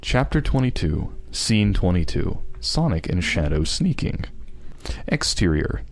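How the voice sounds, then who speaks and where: Low-voiced man narrating a script. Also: sing-song, unhurried. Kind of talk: scripted narration of fan fiction screenplay.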